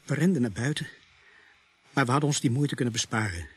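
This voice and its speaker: A man playing a sombre police sergeant who narrates a radio play in a grave, deliberate, husky voice. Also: medium pitch, lively intonation, slight background noise, lightly acted. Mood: grim, measured. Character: sombre male police sergeant recounting a grisly night.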